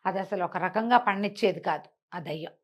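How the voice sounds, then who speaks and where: A woman's voice: lowish voice, moderate pace. A woman telling folk tales conversationally, explaining as she goes and posing rhetorical questions. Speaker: storyteller.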